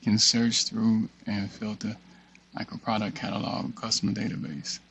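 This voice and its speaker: A man giving a casual screen-share walkthrough, thinking aloud in a halting mutter and reading text off the screen. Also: fairly low pitch, level tone, vocal fry, a bit bright, a little hiss or hum. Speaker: tutorial presenter. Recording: dull, muffled lo-fi audio.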